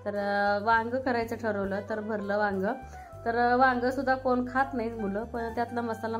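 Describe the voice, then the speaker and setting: A woman with a rural Maharashtrian Marathi accent, chatting in a homely, colloquial way as she narrates a family vlog. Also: mid-range voice. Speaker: family vlogger. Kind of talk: casual home vlog, chatty cooking narration.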